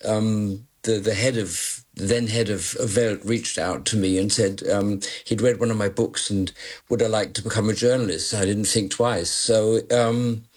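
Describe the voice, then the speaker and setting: Man, 60s, low and husky; rambling guest with restarts, wry. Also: steady tone, unhurried, a little mellow. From born in London, raised in Malaysia. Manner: rambling, self-deprecating, many restarts. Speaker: journalist guest. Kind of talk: radio interview guest. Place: remote, joining from afar.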